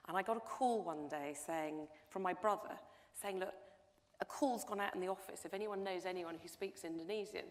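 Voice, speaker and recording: A woman's voice: fairly low pitch, a little fast. A middle-aged woman telling a story on a panel, chatty and full of restarts. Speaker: panel guest, documentary producer. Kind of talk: panel discussion anecdote. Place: lecture hall before an audience. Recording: long hall reverb.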